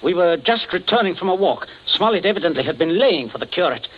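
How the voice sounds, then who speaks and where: A man's voice: sits high, lively intonation, fast talker. An actor playing a domineering, scheming middle-aged father in 1940s radio style, stammering and blustering haughtily. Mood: smoothly bluffing. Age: middle-aged or older. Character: flustered, domineering, coldly scheming father of a household. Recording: dull, lo-fi 1940s radio recording.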